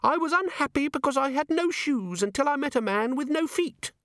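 A shrill chirpy little imp. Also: male voice, expressive, brisk, sharp tone, no room sound, clean studio sound, full character voice. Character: tiny chirpy male imp inside a pocket organiser.

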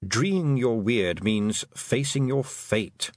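A knowing talking toad from a storybook, acted by a male reader. He murmurs his explanations matter-of-factly in a middling pitch, close and dry. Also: animated, slow pace, no room sound.

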